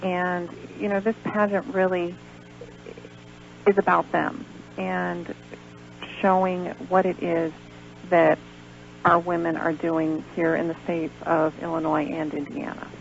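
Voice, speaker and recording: Lady calling into a radio show, lowish voice with vocal fry, earnest, dull line with faint noise. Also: little pitch movement, slow. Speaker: radio interview guest, pageant director. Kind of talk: live promotional radio interview chat. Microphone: calling in by phone. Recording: lo-fi phone-in on radio, noisy hum.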